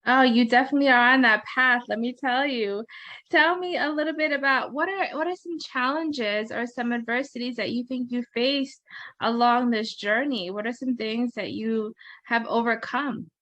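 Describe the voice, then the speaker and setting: A woman's voice: a little high, steady tone, moderate pace, sharp tone, no room sound. A woman hosting a podcast interview, asking questions and cheering her guest on. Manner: encouraging, affirming interjections. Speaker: podcast host. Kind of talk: casual interview. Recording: dry, muffled lo-fi audio.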